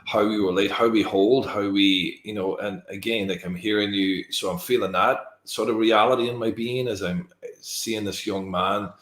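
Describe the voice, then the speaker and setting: A man's voice: lowish voice, steady tone, normal speed, hardly any pauses, a bit bright, roomy sound, rough recording. A man hosting an interview, speaking in a thoughtful, empathetic way with frequent hesitations. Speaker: interview host. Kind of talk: reflective conversational interview.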